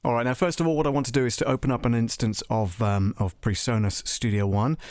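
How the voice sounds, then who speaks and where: A man's voice: mid-range voice, steady tone, fast, a little mellow. A man hosting a live audio production tutorial, chatting informally and often thinking aloud. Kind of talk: casual live tutorial chat.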